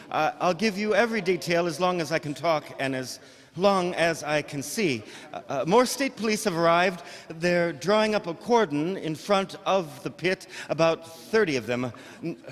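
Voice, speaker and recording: Radio play casting: man to play an excitable, stammering field reporter, high-pitched and horrified, heard in a reverberant hall. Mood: shaken, urgent. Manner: breathless, stammering, hesitant live commentary. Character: excitable, horrified male radio news reporter at a disaster scene. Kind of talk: old-time radio drama eyewitness reporting.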